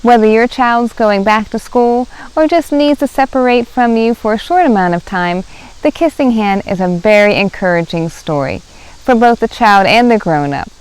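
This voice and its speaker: A woman's voice: on the high side, lively intonation, normal speed, clear, resonant tone, poor audio. A woman in her thirties, a children's librarian, reading and presenting a storytime for young children.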